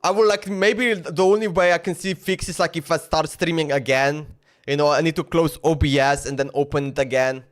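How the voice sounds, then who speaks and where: A man chatting casually on a live game stream, speaking haltingly and repeating himself. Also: a little high, fairly even intonation.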